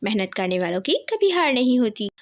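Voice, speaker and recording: A woman acts out a devoted mother bird from a children's cartoon. The voice is high and very melodic, with an earnest, reassuring manner. She sounds caring, hopeful and a little burdened. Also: sing-song, a little fast. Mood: determined. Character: gentle, worried widowed mother bird, hardworking and hopeful.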